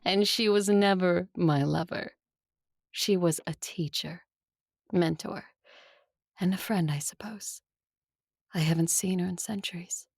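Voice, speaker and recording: A woman acting as an ancient, composed shapeshifter in an audio drama, husky, measured and intimate with a teasing edge. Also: fairly low pitch, a little mellow, no echo, clean studio sound, exaggerated voice. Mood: wry, reflective. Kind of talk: audio drama acting with first-person narration.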